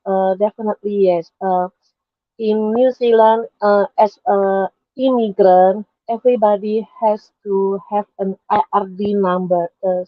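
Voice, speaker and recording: Woman from Indonesia, mid pitch, halting speech, video call. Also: no room sound, phone-quality sound. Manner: halting, searching for words. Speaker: entrepreneur and panelist. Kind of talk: casual group video call.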